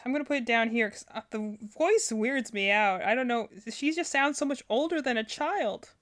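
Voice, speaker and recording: A woman's voice: fairly high pitch, normal speed. A woman giving casual, unscripted reaction commentary as a streamer, rambling and thinking aloud as she goes. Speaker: streamer reacting to game videos.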